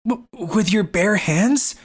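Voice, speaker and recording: A woman playing a timid, socially awkward high school boy who narrates a manga story, meek and hesitant. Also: slow, faint music behind, lightly acted. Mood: timid, nervous.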